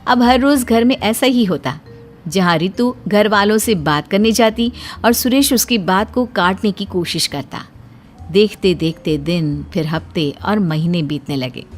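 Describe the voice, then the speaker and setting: A woman's voice: mid-range voice, unhurried. A woman reading a scripted story in a dramatic storytelling style. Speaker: story narrator. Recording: music bed under the voice. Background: background music.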